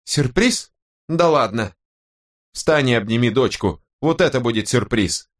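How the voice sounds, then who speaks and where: A man voicing an irritated, sarcastic, worn-out young wife and mother in an audiobook, with a sharp, nagging manner. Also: mid-range voice, animated, normal speed, pitched above the performer's own voice, natural voice. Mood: scornful. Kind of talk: audiobook character voice.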